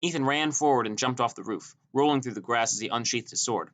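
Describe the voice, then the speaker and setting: A man's voice: mid-range voice, fast talker, a bit bright. A man reading a scripted first-person story as an audiobook narrator.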